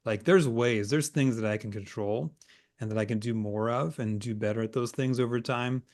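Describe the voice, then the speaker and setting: A man's voice: medium pitch, moderate pace, darkish tone. Man in his forties, guest on a video call podcast, earnest and upbeat, regular mid voice. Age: 40s. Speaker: guest, editor and agency owner. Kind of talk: casual interview talk.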